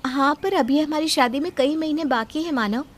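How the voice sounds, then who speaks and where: A woman voicing an earnest twenty-five-year-old bride-to-be in an audio story, gentle, questioning and politely firm. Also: high-pitched, moderate pace, voice pushed up, natural voice. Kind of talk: acted Hindi audio story.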